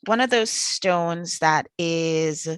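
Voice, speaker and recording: A woman's voice: fairly low pitch, drawn-out words, clear, resonant tone. A woman with a Trinidadian accent hosting an online networking call in an effusive, enthusiastic way. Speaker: host. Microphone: video call.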